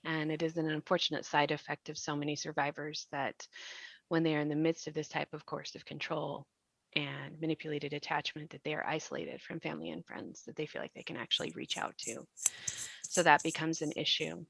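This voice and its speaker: Low-voiced woman with a creaky edge. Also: flat delivery, brisk, a bit bright, dry recording. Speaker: host and survivor advocate. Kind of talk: earnest reflective discussion.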